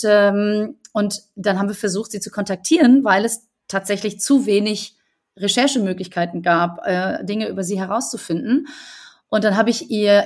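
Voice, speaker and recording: Earnest woman author telling stories. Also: medium pitch. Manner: earnest, thoughtful, many fillers and restarts. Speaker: correspondent and book author, guest. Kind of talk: conversational podcast interview.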